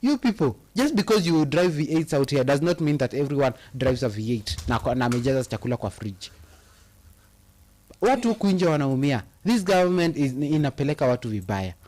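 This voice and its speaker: A man's voice: mid-range voice, very animated, fairly quick, a little mellow. A Kenyan man co-hosting a casual live chat, reading viewer comments with impassioned, hesitant delivery. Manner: impassioned, reads comments aloud with hesitant fillers. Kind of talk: casual live chat mixing English and Swahili.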